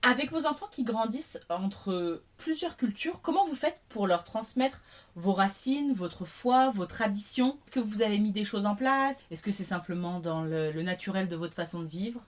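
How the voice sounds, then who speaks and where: A woman hosting a podcast, talking and asking questions in an expressive way. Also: mid-range voice, moderate pace. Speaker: podcast host. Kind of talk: interview conversation.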